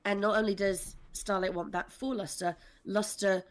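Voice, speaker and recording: A woman's voice: mid-range voice, steady tone, moderate pace. A woman speaking articulately and earnestly at length, explaining characters on a discussion panel.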